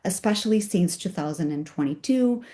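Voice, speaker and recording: A woman giving an academic talk over a video call, her voice raspy from a cold, speaking with some fillers. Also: lowish voice, expressive, normal speed, dark tone. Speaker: guest speaker. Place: home at night, joining remotely.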